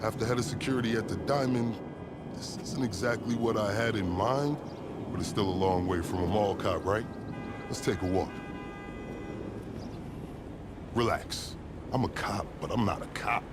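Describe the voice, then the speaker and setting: An actor playing a verbose, sardonic police recruit and schemer in a video game, speaking in pompous, formal phrases. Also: male voice, lowish voice, normal speed, heavy background noise, lightly acted. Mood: wry, confiding. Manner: pompous, wordy formal diction. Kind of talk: scripted video game cutscene acting.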